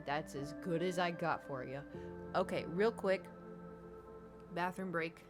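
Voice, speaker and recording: A woman's voice: on the low side, measured pace. Chatty American woman streamer. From United States. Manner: rambling, chatty, reads and answers chat. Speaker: gaming streamer. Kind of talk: casual chat while gaming. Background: background music.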